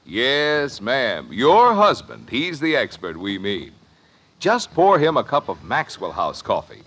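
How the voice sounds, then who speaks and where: An American man announces a fifties radio commercial. His medium-pitched voice rises and falls strongly in a polished, persuasive sell. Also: wildly swooping pitch, takes it slowly, fairly bright tone. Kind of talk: 1950s radio advertisement, scripted.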